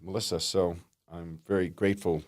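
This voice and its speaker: A middle-aged man with a deep, low voice talks as a podcast guest, wandering through his stories with plenty of ums and false starts. The recording is dry and close. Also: little pitch movement, a little mellow, no echo. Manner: rambling, halting, lots of ums and restarts. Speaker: guest, actor and comedian. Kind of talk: casual chat.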